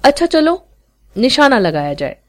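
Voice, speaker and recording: A woman storyteller voicing a curious, kindly gentleman who asks questions and makes invitations in an inquisitive way. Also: high-pitched, sing-song, moderate pace, pitched above the performer's own voice. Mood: inviting.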